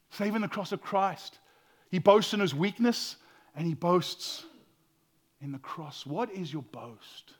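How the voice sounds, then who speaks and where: Man, pastor, sermon, loud voice, high pitched, hushed then loud, repeats words. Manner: swings from hushed whispers to loud exclaiming, stammers repeats.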